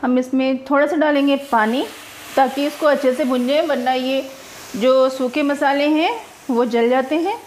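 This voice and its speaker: A woman's voice: high-pitched, steady tone, moderate pace, a little mellow, slight background noise, low-quality recording. A woman narrating her own cooking video step by step, chatty and homely, explaining each step as she cooks in her home kitchen. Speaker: cooking vlogger.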